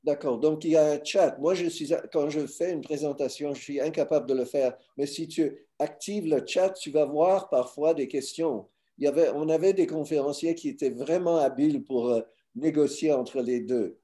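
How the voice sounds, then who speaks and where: A man's voice: on the high side, moderate pace, hardly any pauses, mellow. A man hosting an online seminar, talking informally and trailing off mid-phrase over a video call. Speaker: seminar host. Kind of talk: casual chat on a video call.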